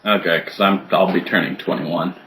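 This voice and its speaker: A man's voice: low voice, moderate pace, a crowd in the background. A man of twenty chatting as a podcast guest, crude and joking, often laughing as he talks. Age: 20s. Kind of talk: casual chat.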